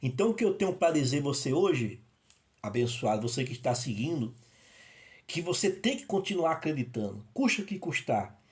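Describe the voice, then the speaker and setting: A man's voice: a little high, very animated, moderate pace. A man from northeastern Brazil giving a rambling, informal sermon of personal testimony, recorded at home in his apartment. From Northeast Brazil (Rio Grande do Norte). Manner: rambling, with many restarts and repetitions. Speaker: evangelist.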